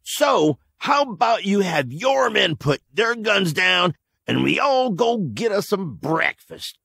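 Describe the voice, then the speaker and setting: A mocking, rat-faced outlaw, as a male narrator acts him out, taunting in a raised, rough voice. It is gravelly and husky, with lively rises and falls. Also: sits high, takes it slowly, no room sound, pitched above the performer's own voice, full character voice. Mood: defiant. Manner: ironic, taunting drawl.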